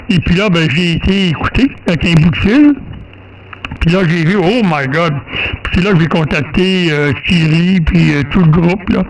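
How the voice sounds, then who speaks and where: High-pitched Quebec man on amateur radio. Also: moderate pace, rough recording. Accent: Quebec French. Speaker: amateur radio operator, host of the exchange. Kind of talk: casual radio chat.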